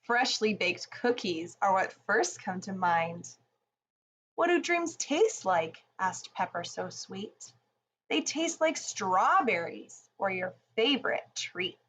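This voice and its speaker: A woman reading a rhyming children's picture book aloud in a deliberate, expressive storytelling manner. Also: medium pitch, a little hiss or hum.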